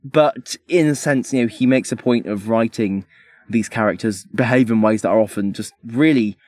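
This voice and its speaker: A man's voice: medium pitch, normal speed, a little mellow. A man podcast host giving a casual but argumentative, analytical critique.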